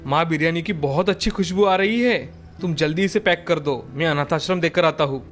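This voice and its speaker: A man's voice: a little high, fairly quick, a bit bright, faint music behind, close to an everyday voice. A man voicing a kind, earnest young butcher and devoted son in a story, speaking politely.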